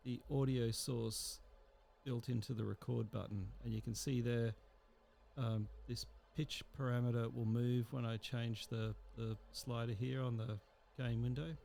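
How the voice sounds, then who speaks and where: Gravelly, mellow man giving a casual tutorial. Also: mid-range voice, little pitch movement, measured pace. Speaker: software tutorial instructor. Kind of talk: casual screen-recorded tutorial narration.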